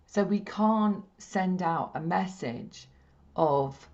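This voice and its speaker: A woman with a slightly croaky, hoarse throat, leading a spiritual healing session in a gentle, repetitive, soothing way. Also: fairly low pitch, slow. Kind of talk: slow meditative teaching talk. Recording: dull lo-fi stream audio.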